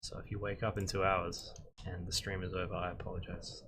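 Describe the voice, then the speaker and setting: A young man, a concept artist and instructor, chatting casually and rambling in a flat monotone while he works on a livestream. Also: deep voice, fairly quick, background noise. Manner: monotone, rambling with many fillers.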